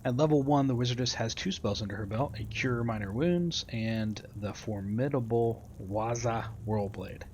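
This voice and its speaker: A man's voice: mid-range voice, moderate pace, hardly any pauses, a little mellow, a little hiss or hum. A man giving casual, matter-of-fact gameplay commentary and reading tutorial text aloud as he plays. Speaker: gamer doing a playthrough.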